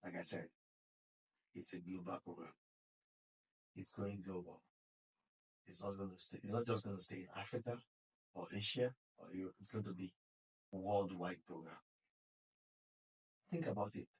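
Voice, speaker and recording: A man's voice: low-pitched, a little slow, pauses often, a little mellow, recorded from a distance, poor audio. A Nigerian man, founder of a podcast studio, earnestly urging listeners to speak up in a casual interview. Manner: earnest, exhorting.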